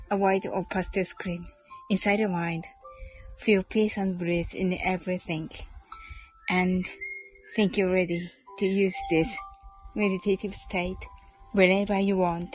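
Woman, slow-paced, over music. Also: mid-range voice, poor audio. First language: Japanese. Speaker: host of an English-learning mindfulness audio show. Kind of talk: bilingual guided meditation, then casual live chat with listeners.

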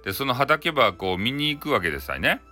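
A man's voice: mid-range voice, very animated, quick pace, pushed and bright, soft background music. A man with a Hakata accent telling a bedtime folktale in a casual, chatty, colloquial way. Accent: Hakata, Japan. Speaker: storyteller.

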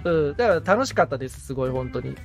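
A man's voice: mid-range voice, lively intonation, rapid-fire, vocal fry, soft background music. A man who is a novice podcaster, chatting casually and candidly as the assistant on a show. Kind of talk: casual chat.

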